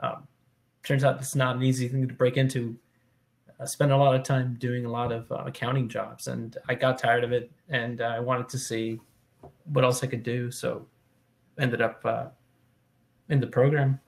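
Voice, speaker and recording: A man's voice: mid-range voice, flat delivery, moderate pace, creaky. A man introducing himself on a panel in a plain, self-deprecating way. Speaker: software engineer, alumni panelist. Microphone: video call.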